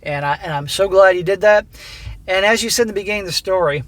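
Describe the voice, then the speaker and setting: A man hosting a channel who reads a listener's story aloud and comments on it in a blunt, profane, coaching way, his voice going hoarse. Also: high-pitched, quick pace, no room sound. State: losing his voice. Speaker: host reading listener stories.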